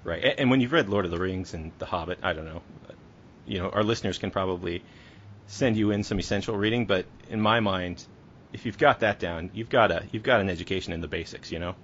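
A man's voice: deep voice, fast, fairly bright tone, highs cut off, slight background noise. A man working as a podcast interviewer, asking questions in a conversational style.

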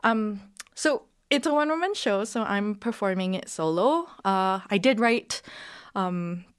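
A woman's voice: mid-range voice, lively intonation, unhurried, smooth. A woman, a playwright and actor answering interview questions hesitantly, with many ums. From Singapore. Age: 30s.

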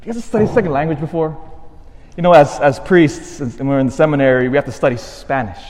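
A fairly high-voiced male priest preaches a homily in a church, and his voice carries a long reverb. He tells the story well, sinks to a quiet hush, then lifts into lively emphasis. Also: a little fast. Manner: storytelling, swings from hushed to animated.

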